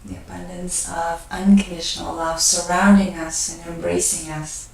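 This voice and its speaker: A woman leading a guided meditation, speaking gently and unhurriedly in short phrases with pauses between them. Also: on the low side, flat delivery, gravelly. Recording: very echoey room, distant mic.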